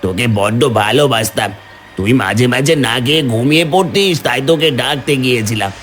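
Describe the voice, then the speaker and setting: A man's voice: medium pitch, moderate pace, a bit bright, soft background music, close to an everyday voice. A man voicing a protective, affectionate village ghost in a cartoon, earnest and cautioning. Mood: tender reassurance. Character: protective male village ghost, affectionate elder brother figure.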